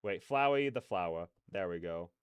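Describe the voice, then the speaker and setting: Clear-voiced man streaming, close and dry. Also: low voice, animated, normal speed, dry recording. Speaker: streamer, reaction host. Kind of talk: casual livestream reaction chat.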